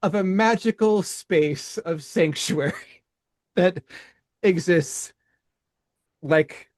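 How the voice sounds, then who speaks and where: A man co-hosting a podcast, reading his own rhyming poem in a measured cadence and then chatting with frequent restarts. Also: high-pitched, a little mellow, no room sound, clean studio sound. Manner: slow, deliberate, with halting restarts in chat. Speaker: podcast co-host.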